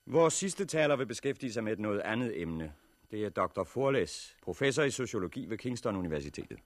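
An actor playing a composed chairman of a survivors' meeting in a 1960s radio drama, speaking formally, courteously and in measured phrases. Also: on the low side, sing-song, no room sound. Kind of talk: 1960s radio drama acting, public address.

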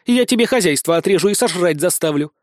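A man's voice: high-pitched, animated, fast talker, a little mellow, no room sound, studio quality. A man voicing a defiant young bandit girl who snaps and hisses her retorts in a fantasy audiobook. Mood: furious. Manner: snapping, hissing retorts. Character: defiant young bandit girl, fiery, foul-mouthed heiress.

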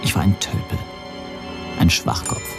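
An actor narrating a radio drama as a rueful man recalling events in a measured, introspective way. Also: over loud music, close to an everyday voice. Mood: reflective, rueful. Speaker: first-person narrator.

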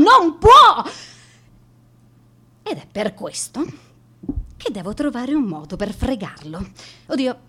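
Bitter, sarcastic female schoolteacher for radio drama; higher register, big melodic swings. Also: a bit bright. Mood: exasperated, obsessed, then scheming. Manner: sarcastic, asking and answering herself. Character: bitter, spiteful, strict female schoolteacher, obsessed and vindictive. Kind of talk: theatrical radio monologue.

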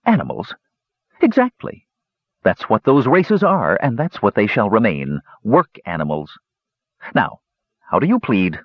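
A man's voice: lowish voice, wildly swooping pitch, a little slow, long pauses, dry recording, exaggerated voice. A man voicing a cruel, smiling woman warlord who passes judgment in a cold, mocking, commanding way. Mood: sneering. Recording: dull lo-fi recording.

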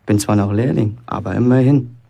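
An actor playing a boastful, self-important teenage apprentice bricklayer from a village, chatting colloquially in a radio play monologue. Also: male voice, fairly low pitch, brisk, creaky, warm tone. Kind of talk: German radio play acting, first-person monologue.

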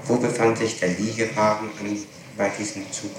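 A man's voice: fairly low pitch, flat delivery, normal speed. A man questioning formally and methodically as a prosecutor in court, pressing insistently for details. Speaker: public prosecutor. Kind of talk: courtroom interrogation. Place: large echoing room, distant mic. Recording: very echoey, noisy archival tape, far off.

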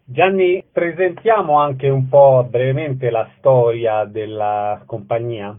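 A man's voice: mid-range voice, takes it slowly. A man hosting a radio show, interviewing guests and announcing theatre dates. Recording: narrow, phone-like radio sound.

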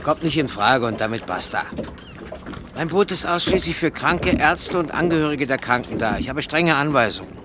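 An actor playing a gruff, stubborn ferryman with a curt manner in a radio drama. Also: on the high side, lively intonation, normal speed, lightly acted. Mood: stern refusal. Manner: curt, dismissive. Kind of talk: German radio drama acting. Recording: clear noise of water and boat. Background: boat and water sounds.

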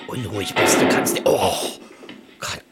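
An actor playing a chatty, nosy night-shift colleague in a German radio drama, blunt and colloquial. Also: male voice, normal speed, lightly acted. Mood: probing, familiar. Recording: loud music behind the voice.

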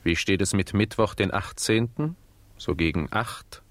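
A man's voice: fairly low pitch, measured pace, no room sound, natural voice. A male actor playing an unflappable, formally polite millionaire in a German radio play. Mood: polite, composed. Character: courteous, unflappable male millionaire on a sinking ship.

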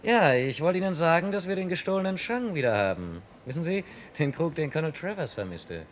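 Investigator: composed, dogged, quietly ironic, very animated pitch, vintage radio drama with faint background noise. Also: male voice, brisk. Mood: politely taunting. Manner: calm, probing, dryly polite. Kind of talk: German crime radio play acting.

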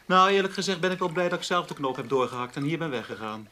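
An actor playing a breezy, self-satisfied former newspaper journalist in a radio drama, chatty and nonchalant. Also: male voice, fairly high pitch, lively intonation, fast talker, bright, forceful tone. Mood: breezy, casual. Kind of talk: Dutch radio drama acting.

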